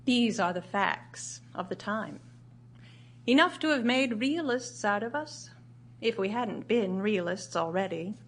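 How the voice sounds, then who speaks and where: An actress playing a grieving widowed writer, narrating in a measured, solemn, literary way for audio theatre. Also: female voice, medium pitch, expressive. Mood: solemn, reflective. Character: grieving widowed woman writer recounting her husband's last year.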